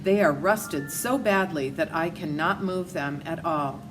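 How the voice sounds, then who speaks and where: A woman's voice: low voice, a little slow, music in the background. A woman voicing a polite, grateful rusted tin man in a storybook read-aloud, with a plaintive, courteous manner. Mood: plaintive, stiff.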